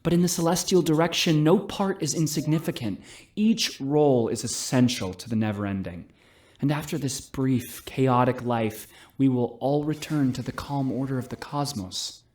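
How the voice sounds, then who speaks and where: Solemn, lofty space preacher, played by an actor. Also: male voice, medium pitch, darkish tone, close to an everyday voice. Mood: solemn, reverent. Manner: measured, lofty, earnest. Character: solemn cult-like preacher of the cosmos. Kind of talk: sermon in an audio drama.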